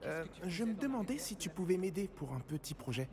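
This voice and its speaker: A man's voice: sits high, sing-song, brisk, mellow. An actor voicing a nervous young male student wizard in a video game, talking anxiously about his reputation. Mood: friendly. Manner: anxious, talkative. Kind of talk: video game character dialogue.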